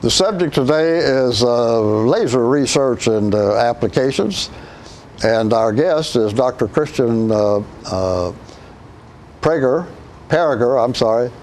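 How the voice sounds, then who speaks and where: A man's voice: medium pitch, lively intonation, a little slow, a little mellow, a little hiss or hum. Stumbling, friendly male host. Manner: friendly, loose, stumbles over words. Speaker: talk show host. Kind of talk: interviewing a guest.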